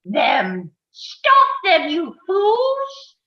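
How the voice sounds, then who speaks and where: A woman's voice: very high voice, wildly swooping pitch, exaggerated voice. A woman voicing a wicked, gloating witch villain who taunts and barks commands in a theatrical screenplay read. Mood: furious. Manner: menacing, commanding, drawn-out taunting. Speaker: table read actor. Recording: roomy, dull lo-fi microphone sound.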